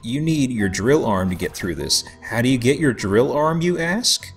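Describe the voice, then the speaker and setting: A man giving casual, joking let's play commentary in a rambling, halting way. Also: mid-range voice, expressive. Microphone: voice-over microphone over game audio. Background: game music.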